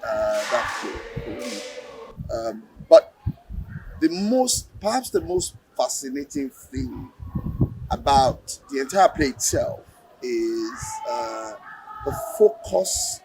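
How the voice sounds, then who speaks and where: A man with a Nigerian accent explaining in an interview, deliberate and halting, repeating phrases for emphasis. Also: mid-range voice, sing-song, darkish tone, soft background music, poor audio. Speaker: lecturer and play director interviewed.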